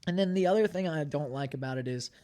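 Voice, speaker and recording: A man's voice: a little high, dark tone. A man, a podcast co-host, sharing thoughtful, hedged opinions in a casual group chat. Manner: measured, thoughtful, hedging opinions.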